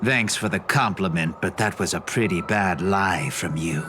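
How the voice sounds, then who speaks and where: An actor playing a weary, self-deprecating dead film director in a video game, with resigned and dramatic phrasing. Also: male voice, deep voice, normal speed, lightly acted. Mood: wry. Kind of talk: video game character dialogue. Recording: game dialogue over clear background music.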